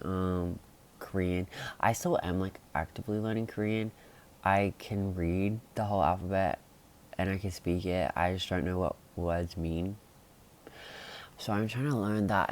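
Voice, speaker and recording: A young man chatting casually to camera in a rambling vlog, full of fillers and sudden excited jumps in pitch. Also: a little hiss or hum. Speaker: vlogger.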